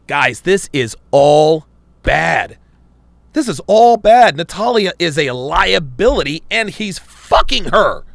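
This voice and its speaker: A blunt, emphatic man reacts on a live podcast. His gravelly voice jumps all over in pitch, and the sound is close and dry. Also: a bit bright, no echo. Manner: blunt, emphatic, repeats himself for punch. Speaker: podcast host reacting to a video.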